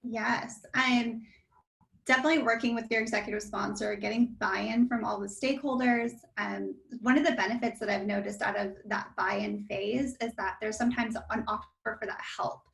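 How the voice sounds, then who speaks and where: A woman on a career panel, talking quickly and casually with frequent "like". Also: on the high side, steady tone, vocal fry, fairly bright tone, rough recording.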